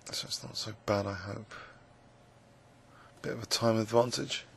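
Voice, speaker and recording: A man with a croaky, gravelly voice, musing hesitantly with many fillers as he commentates his own blitz chess game. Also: lowish voice, fairly even intonation, measured pace, slight background noise. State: croaky voice, clearing throat. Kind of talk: live game commentary, thinking aloud.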